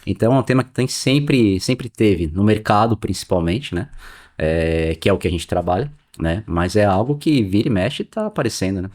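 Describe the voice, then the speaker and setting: A lowish male voice with a São Paulo interior Brazilian accent, talking casually in his forties as a podcast guest. He explains things in a friendly, rambling way and keeps checking in with his listener. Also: animated, normal speed, a little mellow. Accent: São Paulo interior Brazilian Portuguese. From Sorocaba, São Paulo state, Brazil. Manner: friendly, explanatory, many filler tags.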